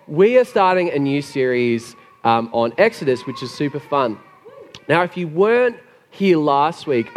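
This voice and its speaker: A man preaching a sermon with a gravelly, hoarse voice after being sick, chatty and joking. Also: a little high. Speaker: pastor. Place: church hall. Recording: long hall reverb.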